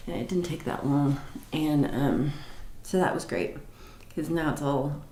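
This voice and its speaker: A woman hosting a casual livestream chat, rambling with many fillers and restarts, with a low voice. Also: lively intonation, a little slow, dark tone, roomy sound, slight background noise. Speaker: livestream host. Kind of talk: casual live chat reading comments.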